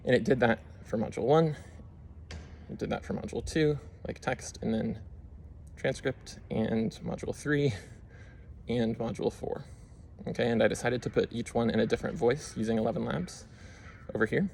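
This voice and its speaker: A man's voice: medium pitch, normal speed, vocal fry, a little mellow, a little hiss or hum. A young man casually walking through his screen, rambling with lots of fillers as he explains what he did. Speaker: YouTube creator. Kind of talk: casual screen-share walkthrough.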